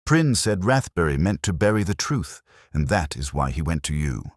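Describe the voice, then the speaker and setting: A man performs an anxious young male clerk in a storybook mystery. He confesses earnestly in a low, slightly husky voice, and his pitch moves about a lot. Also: big pitch swings, moderate pace, dry recording, studio quality. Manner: nervous, confessional. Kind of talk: dramatic audiobook character reading.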